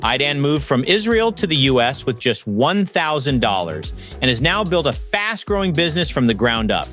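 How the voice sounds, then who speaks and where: A man's voice: mid-range voice, big pitch swings, moderate pace, a bit bright, soft background music. A man hosting a podcast, introducing and interviewing in a conversational presenter style. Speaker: podcast host.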